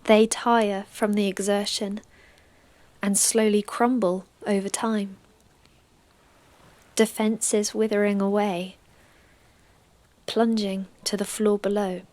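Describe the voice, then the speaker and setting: Female poetry reader, mid-pitched, drawn-out delivery with dramatic pauses. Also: little pitch movement, a little mellow, no echo. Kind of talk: poetry recitation.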